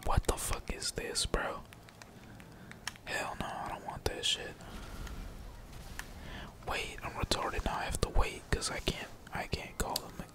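A man's voice: measured pace, pauses often. Whispering young man gaming. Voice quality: breathy, unvoiced whisper. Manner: slangy, profane, rambling. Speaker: gaming streamer. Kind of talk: whispered casual gameplay commentary.

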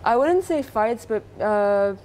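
A woman's voice: fairly high pitch, expressive, slow pace, warm tone, slight background noise. Young woman from Lebanon, candid. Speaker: student chef. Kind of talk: live cooking show chat. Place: large teaching kitchen studio.

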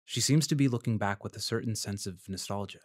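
An actor playing a polite, measured freelance journalist who narrates his investigation in a fiction podcast. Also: on the low side, no echo, studio quality, natural voice. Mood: somber, observant. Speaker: narrator and lead character.

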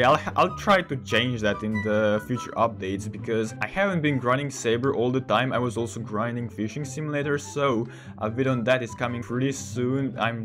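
Low-voiced teenage non-native English gamer guy. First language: not English. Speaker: gaming video host. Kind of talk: casual gameplay commentary. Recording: voice under loud game music. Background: music.

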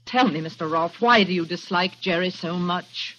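A determined young woman of old radio drama, with a bright, forceful voice that has a husky edge. She is intense, accusing and defiant under her anxiety. The recording is muffled, as 1940s broadcasts are. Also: on the low side, moderate pace. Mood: bitter, defiant.

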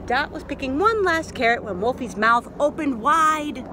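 A middle-aged woman reads a children's story aloud with a high, animated voice. She is expressive and often turns to her listeners with questions. She is outdoors, with some background noise. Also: moderate pace. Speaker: library story reader. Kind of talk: children's picture-book read-aloud. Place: outdoors in a leafy yard.

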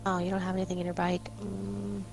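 A woman's voice: mid-range voice, level tone, fairly quick, vocal fry, over music. A woman co-hosting a gameplay video, chatting casually with her fellow player as she plays. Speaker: gaming video co-host and player.

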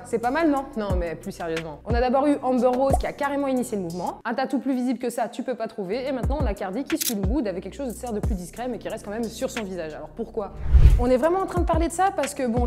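A young woman, presenting hip-hop news in a chatty, casual way, speaking straight to the viewer, on a lapel mic in a studio. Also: a little high. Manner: chatty, addresses viewer directly. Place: studio with plain backdrop.

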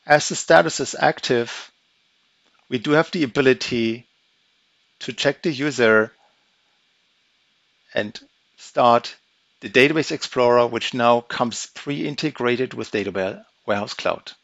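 Man walking through a software demo. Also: mid-range voice, measured pace, vocal fry, long pauses, no echo. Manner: methodical, step-by-step explaining. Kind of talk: screen-recorded software walkthrough. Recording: dry, slightly dull audio.

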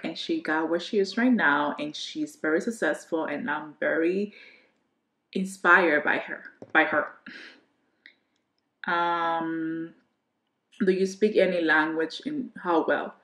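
A woman's voice: lowish voice, echoey room, a little hiss or hum, rough recording. A woman in her twenties from the Dominican Republic, a native Spanish speaker talking with a Dominican accent and answering questions to camera in a casual, rambling way. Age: 20s. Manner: chatty, trailing restarts, gesturing with hands. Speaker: beauty vlogger. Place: bedroom at home, indoors.

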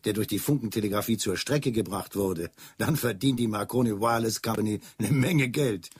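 An actor playing a dry, wry chief police inspector in a German radio drama. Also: male voice, lowish voice, a little fast, breathy edge, dry recording.

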